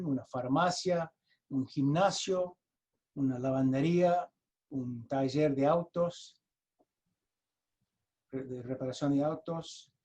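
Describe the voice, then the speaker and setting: Halting American-accented man with a mellow voice. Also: a little high. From United States. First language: English. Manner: halting, with frequent restarts and repeated words. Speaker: lawyer presenting a webinar. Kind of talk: explanatory presentation over a video call. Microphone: video call.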